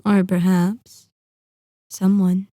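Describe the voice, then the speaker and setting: An elegant female vampire, acted by a woman, predatory and possessive, speaks in an intimate ASMR monologue. The delivery is extremely slow and deliberate, with a dark, velvety tone and teasing condescension. The sound is close and dry. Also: medium pitch, little pitch movement, dry recording. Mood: seductive, possessive.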